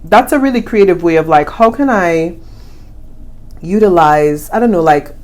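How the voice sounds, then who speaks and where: A young woman, born in the US with family from the Caribbean, co-hosting a casual money-saving chat in an animated, playful way. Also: lowish voice, normal speed. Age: young adult. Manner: animated, playful, talks with emphasis. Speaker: co-host of a personal finance channel.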